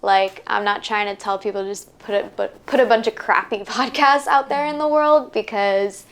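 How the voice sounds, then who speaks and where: Smooth-voiced young woman, chatty and casual. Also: medium pitch, steady tone, moderate pace, fairly bright tone. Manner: chatty, lots of "like" and "um" fillers. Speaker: podcaster and workshop guest. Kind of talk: casual interview answers.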